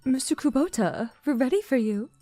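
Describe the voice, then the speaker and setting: Woman acting a mellow, polite office receptionist. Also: high-pitched, animated, normal speed, faint music behind. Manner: polite, formal. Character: polite female office receptionist ushering in a candidate. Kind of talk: manga dub dialogue.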